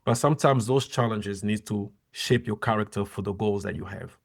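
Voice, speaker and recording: Podcast guest, middle-aged man, low gravelly voice, earnest and measured. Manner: earnest, measured advice-giving. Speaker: podcast guest, former soccer player and coach. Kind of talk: interview conversation.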